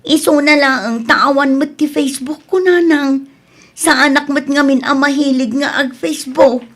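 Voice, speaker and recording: A woman's voice: high voice, fairly even intonation, normal speed, fairly bright tone. A woman playing an anxious middle-aged wife and mother in distress, in a radio drama. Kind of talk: radio drama acting in Ilocano.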